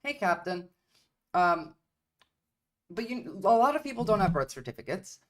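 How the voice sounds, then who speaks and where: A woman's voice: mid-range voice, very animated, normal speed. A woman from Turkey giving blunt, profane livestream commentary on politics, repeating her points for emphasis. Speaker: streamer.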